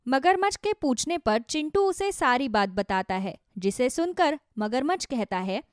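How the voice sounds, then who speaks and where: A woman's voice: sits high, sing-song, a little slow, clear, resonant tone, no room sound, studio quality. A woman narrating a scripted children's story.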